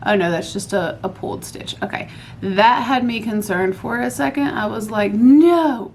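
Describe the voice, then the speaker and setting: A woman, hosting a casual craft podcast from home at night, a bit hoarse after losing her voice, chatty and rambling. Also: on the high side, normal speed, a little mellow, slight background noise. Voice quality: hoarse, recovering from lost voice. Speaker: podcast host. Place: home at night, laundry running.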